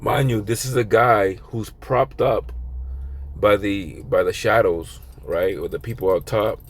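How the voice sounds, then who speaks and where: A man with a raspy, hoarse voice giving an informal, rambling video sermon as a religious teacher. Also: fairly low pitch, unhurried. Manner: rambling, filler-heavy, conversational.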